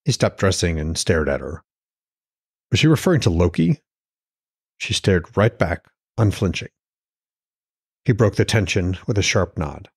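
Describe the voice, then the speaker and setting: A man's voice: deep voice, expressive, a little slow, long pauses, husky, dry recording, studio quality. A man reading scripted narration as author and podcast host.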